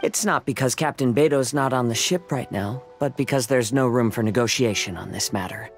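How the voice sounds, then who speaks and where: An actor playing a calm, polite young male samurai wanderer in a video game, courteous and measured. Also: medium pitch, faint music behind, natural voice.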